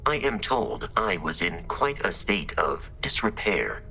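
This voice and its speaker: Game robot companion: low, bright, deadpan, formal, polite and exact, dryly funny. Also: male voice, soft background music, heavily acted, rough recording. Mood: deadpan, courteous. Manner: formal, deadpan, precise, measured delivery. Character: polite, literal-minded male exploration robot companion, dryly humorous. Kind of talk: scripted video game character dialogue.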